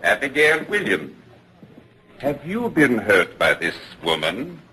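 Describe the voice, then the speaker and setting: An actor playing a stern, accusing prosecutor in an old-time radio drama, questioning formally and with command. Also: male voice, medium pitch, wildly swooping pitch, slow pace, sharp tone, exaggerated voice. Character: stern, accusing male prosecutor at witch trial. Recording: noisy vintage radio broadcast.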